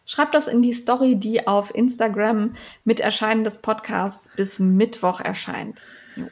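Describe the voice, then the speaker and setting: Woman, podcast co-host, gravelly edge, chatty, lots of fillers, casual. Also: fairly high pitch, fairly even intonation, measured pace. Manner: chatty, many fillers and restarts.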